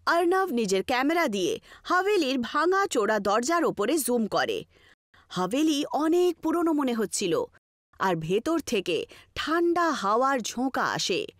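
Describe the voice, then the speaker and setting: A woman's voice: high voice, very animated, a little slow, no room sound, clean studio sound. A woman reading scripted narration for a horror story as its storyteller.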